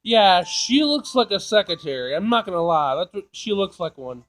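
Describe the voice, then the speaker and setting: A man's voice: high-pitched, big pitch swings, moderate pace, fairly bright tone, soft background music, low-quality recording. A man doing a casual let's play, reading game text aloud and reacting in an informal, sassy way. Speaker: gaming video player.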